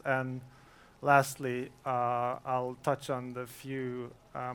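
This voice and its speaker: Smooth-voiced man giving a casual tech talk. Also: mid-range voice. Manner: relaxed, joking, many fillers. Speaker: conference presenter. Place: conference room.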